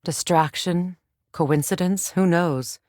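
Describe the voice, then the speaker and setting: Game role: proud Valkyrie queen, lower-pitched, composed and determined, formal delivery, very dry close sound. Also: takes it slowly, darkish tone, no echo. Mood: measured, wry. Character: proud, determined Norse goddess and Valkyrie queen, grown woman. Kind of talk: scripted video game dialogue.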